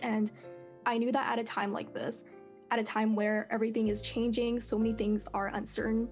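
A young woman, a fourth-year student, speaking in a polished, measured, carefully worded way as a panel guest. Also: on the high side, darkish tone. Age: young adult. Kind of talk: prepared self-introduction on a panel.

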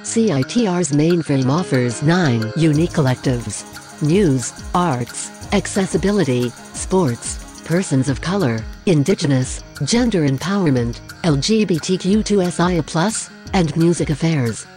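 Low, mellow woman reading a radio promo. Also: lively intonation, measured pace, soft background music. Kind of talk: scripted tongue-in-cheek radio promo.

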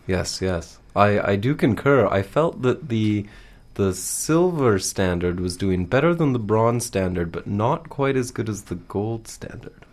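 A man co-hosting a casual, humorous radio show in a halting, mock-serious way. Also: fairly low pitch, lively intonation, a little mellow.

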